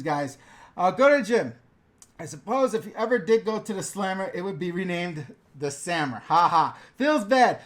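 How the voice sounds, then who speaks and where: A middle-aged man from the New York area with a fairly high voice chats through viewer questions on a live stream, recorded on a GoPro camera. He talks loosely and jokes around, laughing often between answers. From New York/New Jersey area. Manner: chatty, joking, laughs often.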